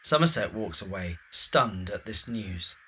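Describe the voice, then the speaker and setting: A man's voice: lowish voice, expressive, a little slow, a bit bright, husky. A man reading scripted narration for a dramatised story.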